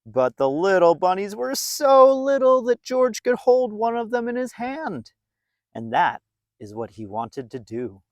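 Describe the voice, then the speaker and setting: A man reading a story aloud to young children in a playful, expressive way, with dramatic emphasis and drawn-out words. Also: sits high, dry recording, studio quality.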